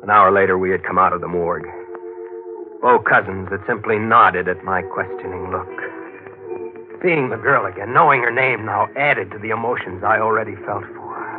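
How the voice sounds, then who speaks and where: An actor as a haunted reporter, old muffled radio. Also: male voice, fairly low pitch, moderate pace, natural voice. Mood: brooding narration. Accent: American. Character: intense, haunted male newspaper reporter narrating his own story. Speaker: narrator and lead actor. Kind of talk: 1940s radio acting. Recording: muffled 1940s radio recording with music.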